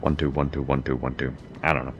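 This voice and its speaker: A man with a low-pitched voice giving casual, rambling gameplay commentary, often restarting and correcting himself. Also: little pitch movement, quick pace, muffled recording, slight background noise. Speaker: gaming video creator. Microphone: home streaming mic with crackle.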